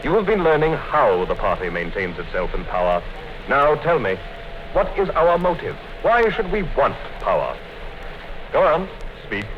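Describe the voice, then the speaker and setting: An actor in a 1950s radio play playing a calm, coldly menacing male interrogator who speaks in a measured way. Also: medium pitch, natural voice. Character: cold, patient male party interrogator, middle-aged. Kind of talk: 1950s radio drama acting. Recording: dull 1950s radio broadcast with hiss.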